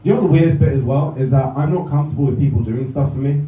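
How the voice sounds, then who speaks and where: A man's voice: mid-range voice, racing, very noisy. Give me a young Englishman doing stand-up, wry and self-deprecating, heard from afar on a slightly muffled club recording. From England. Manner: dry, self-mocking delivery with asides. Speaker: stand-up comedian. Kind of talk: stand-up set. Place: comedy club stage. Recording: roomy, distant, slightly dull club recording. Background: audience laughter.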